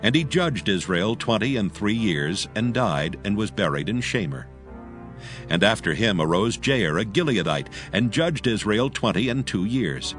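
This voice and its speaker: Low-voiced man narrating scripture. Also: big pitch swings, normal speed, fairly bright tone, soft background music.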